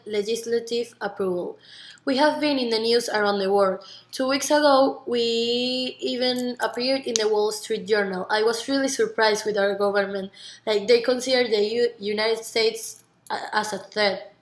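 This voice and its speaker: A nineteen-year-old woman from Venezuela with a Venezuelan Spanish accent, earnestly giving rehearsed interview answers in non-native English with some stumbles. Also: fairly high pitch. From Margarita, Venezuela. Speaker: university student being interviewed. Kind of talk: rehearsed interview self-presentation. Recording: echoey, roomy sound.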